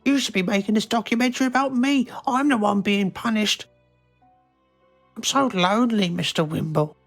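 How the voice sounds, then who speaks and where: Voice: mid-range voice, moderate pace, faint music behind, natural voice. An actor playing a self-pitying, indignant parent who craves attention in a mockumentary game. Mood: whiny, indignant.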